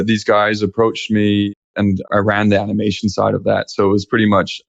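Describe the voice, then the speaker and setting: Gravelly Danish-born man, lo-fi call. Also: lowish voice, no room sound. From Denmark. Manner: rambling, self-correcting, many fillers. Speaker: guest, animation creative lead. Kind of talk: casual interview chat. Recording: dull lo-fi audio.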